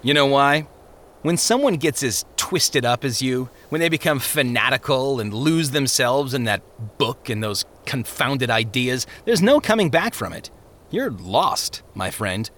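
A man's voice: medium pitch, lively intonation, unhurried, a bit bright. A man voicing a smug, falsely genial parson in an audiobook story, talking down in a condescending way. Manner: condescending, hesitating mid-phrase.

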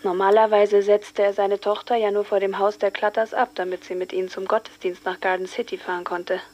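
A young woman acting a shaken teenage girl in a radio drama, recounting in a hushed, hesitant way as a witness. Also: mid-range voice, little pitch movement, a little fast, muffled recording, natural voice. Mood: uneasy, subdued. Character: shaken teenage girl recalling finding her murdered friend. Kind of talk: dramatised witness testimony in a German radio play.

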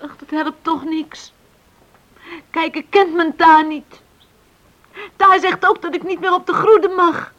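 Very high-voiced pleading young woman. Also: fairly even intonation, takes it slowly, pauses often, fairly bright tone, no room sound, full character voice. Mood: stubborn, upset. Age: young adult. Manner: pleading, emotional, insistent. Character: pleading, headstrong young island woman, farmer's daughter. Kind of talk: Dutch radio play acting.

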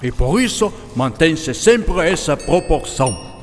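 A man's voice: fairly high pitch, sing-song, a little slow. Man acting as eccentric scientist, German accent, patient enthusiastic teacher, radio play, long reverb, music underneath. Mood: patient explaining. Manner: didactic, peppers speech with German words. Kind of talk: scripted radio drama dialogue.

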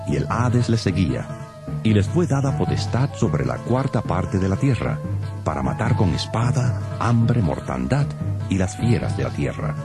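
A man's voice: low-pitched, big pitch swings, measured pace. A man narrating dramatized scripture in a solemn, dramatic manner. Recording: loud music behind.

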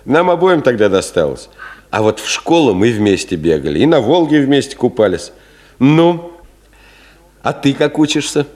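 An actor playing a gentle, wistful middle-aged teacher with a hesitant, teasing, colloquial way of talking in a 1970s Soviet radio play. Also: male voice, medium pitch, sing-song, moderate pace, close to an everyday voice. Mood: kindly, nostalgic.

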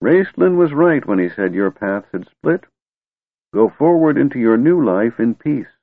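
A man's voice: mid-range voice, expressive, measured pace, creaky, no room sound, pitched above the performer's own voice. A man voicing a wise, eccentric old wizard who is secretly a god, testy and stern in turn. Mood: gentle. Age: older. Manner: cranky yet wistful, scolding. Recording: tinny phone-like dry recording.

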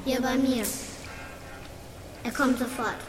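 A woman's voice: sits high, flat delivery, takes it slowly. A female performer playing a prim, dignified child hotel porter in a radio drama, speaking formally and politely. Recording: echoing room, loud music behind.

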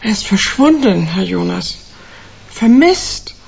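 An actress playing an anxious woman client of about sixty in a radio play, hesitant and earnest with a husky voice. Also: medium pitch, lively intonation, takes it slowly, darkish tone, muffled recording, full character voice. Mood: worried, earnest. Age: older. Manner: hesitant, earnest, self-correcting.

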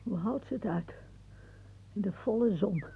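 An actress playing a sardonic, rambling old aunt in a radio play, given to suggestive musings and muttering. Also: female voice, medium pitch, expressive, measured pace, a little hiss or hum. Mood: muttering, watchful. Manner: muttering musings, then calling out loudly. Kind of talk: theatrical radio play acting. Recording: narrow, tinny band like old radio.

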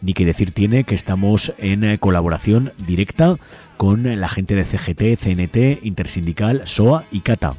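A man's voice: on the low side, moderate pace, music in the background. A man with an accent from Spain, reading a scripted announcement as host of a community radio programme in a studio. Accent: Spanish from Spain.

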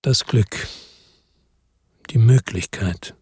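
A man reading first-person literary narration in a measured, brooding, melancholic manner. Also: on the low side, heavy background noise. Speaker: audiobook narrator.

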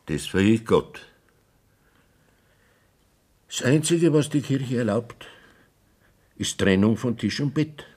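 An actor playing a cautious, evasive village priest who speaks in a measured, formal way, in a radio play. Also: male voice, mid-range voice, animated, a little mellow, breathy edge.

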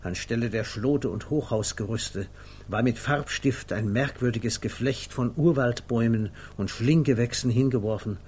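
An actor playing a wry narrator of comic chaos in a 1950s German radio play, with theatrical, mock-dramatic, clipped announcements. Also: male voice, mid-range voice, normal speed, a little mellow, breathy edge, lightly acted. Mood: calmer, wry.

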